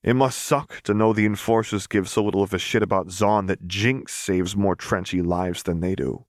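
A man's voice: sits low, fairly even intonation, normal speed, no room sound, studio quality, natural voice. A man voicing a defiant, cocky young male rebel in an audiobook, with taunting, slangy delivery.

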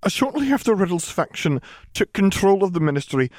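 A man voicing a stern, grave older British witch professor who explains things in a measured, formal way. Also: high voice, very animated, a little mellow, no echo, clean studio sound, voice pushed up. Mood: grave, careful.

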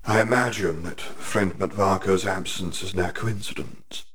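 A man voicing a cold, controlled masked headmaster who speaks with quiet menace. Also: deep voice, a little slow, breathy edge, exaggerated voice.

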